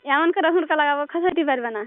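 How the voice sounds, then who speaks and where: A woman's voice: high-pitched, very fast, no echo. A woman chatting casually and flirtatiously on a phone call in a rural Bengali dialect. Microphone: telephone. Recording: muffled narrow-band phone call.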